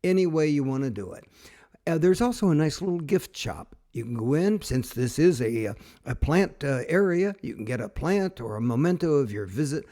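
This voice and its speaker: Mellow, halting man narrating a travelogue. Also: fairly high pitch, expressive. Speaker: travel show host and narrator.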